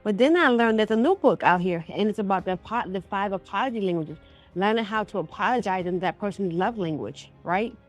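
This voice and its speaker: A woman's voice: mid-range voice, expressive, a little fast, soft background music. A woman hosting a conversational interview show, talking in a folksy, affirming way and addressing listeners directly. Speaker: radio show host.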